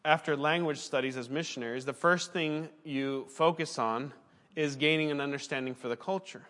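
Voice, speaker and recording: A man's voice: a little high, normal speed, fairly bright tone, big-room sound. A man, a pastor and former missionary, preaching a sermon in an earnest, conversational way and now and then correcting himself mid-sentence.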